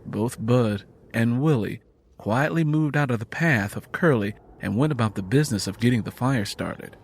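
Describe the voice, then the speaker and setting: A man's voice: mid-range voice, moderate pace, slight background noise. A man narrating a dramatic story from a script as an audiobook reader.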